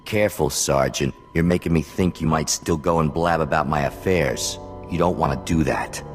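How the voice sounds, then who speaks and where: An actor playing a shady, menacing man who speaks slow veiled threats in a video game cutscene. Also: very deep voice, soft background music. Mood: veiled menace.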